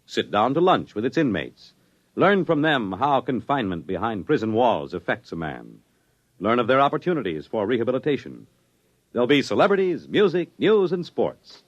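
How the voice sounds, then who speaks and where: An emphatic male radio announcer from the 1950s. He speaks in a booming way, and his pitch swings widely. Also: sing-song, moderate pace, highs cut off.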